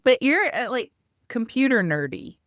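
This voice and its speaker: A woman's voice: on the high side, sing-song, normal speed, no echo, clean studio sound. A woman hosting a podcast, chatting informally and teasing her guest in a self-deprecating way. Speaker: podcast host. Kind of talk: casual interview chat.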